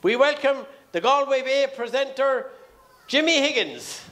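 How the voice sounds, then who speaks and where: A man with an Irish accent making formal, measured announcements as master of ceremonies on a theatre stage. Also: very high-pitched, expressive. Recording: live theatre stage with audience. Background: audience noise.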